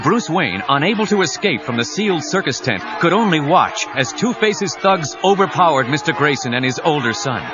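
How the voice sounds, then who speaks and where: A man's voice: on the high side, sing-song, moderate pace, hardly any pauses. A man narrating an audio drama in an emphatic, suspenseful storytelling style. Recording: dull 1990s cassette audio with music.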